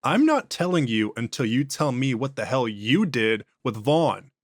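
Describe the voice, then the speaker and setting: A man's voice: medium pitch, big pitch swings, a little slow, no room sound, studio quality. A man voicing an earnest male interrogator who questions a prisoner at a secure facility. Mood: alarmed.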